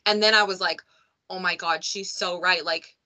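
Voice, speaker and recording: A woman's voice: on the low side, fairly even intonation, normal speed, sharp tone. A woman hosting a podcast, talking in a candid, chatty way and telling stories with animation. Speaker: podcast host. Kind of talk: casual conversation.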